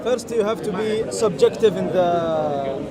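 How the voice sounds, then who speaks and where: A man's voice: high voice, animated, unhurried. A man whose first language is Arabic, explaining hesitantly in a street debate. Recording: loud crowd at outdoor debate.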